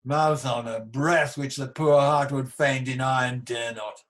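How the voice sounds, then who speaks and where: A man's voice: mid-range voice, steady tone, a bit bright, natural voice. An amateur actor playing an ageing, embattled tyrant king in a Shakespearean radio play, declamatory, defiant and world-weary. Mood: weary, bitter. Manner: declamatory, slow, theatrical.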